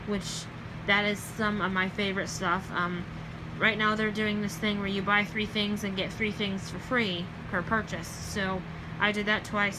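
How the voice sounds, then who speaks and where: Rambling young Southern woman, noisy recording. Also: medium pitch. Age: young adult. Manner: rambling, with many ums and self-corrections. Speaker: vlogger. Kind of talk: casual late-night vlog chat.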